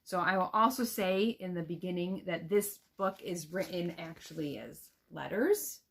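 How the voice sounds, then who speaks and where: A woman with a fairly low voice speaks as a reading teacher. She explains how to read aloud in an instructional way, adding small check-in questions as she goes. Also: normal speed, gravelly. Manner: explanatory, checks understanding with "right?". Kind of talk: instructional talk with storybook reading examples.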